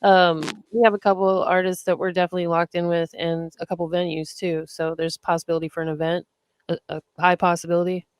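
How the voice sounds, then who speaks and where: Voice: mid-range voice, level tone, fast, clean tone. A podcast host interviewing a guest in a chatty, upbeat way, often filling with "like".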